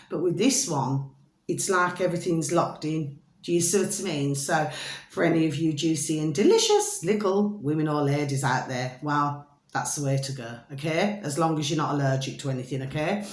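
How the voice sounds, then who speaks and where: A middle-aged woman, rambling casually to her viewers. She keeps saying "flipping" and "there we are" and calls her viewers her delicious little babies. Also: low voice. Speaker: vlogger. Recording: roomy echo.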